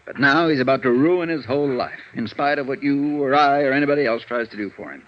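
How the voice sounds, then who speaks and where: Male actor doing a worn-out earnest lawyer, 50s radio play, dull old recording, mid pitch. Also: fast talker, close to an everyday voice. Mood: frustrated, earnest. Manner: earnest, downcast, hesitant. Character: weary, conscientious male defense lawyer, troubled by his client. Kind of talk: 1950s radio drama dialogue.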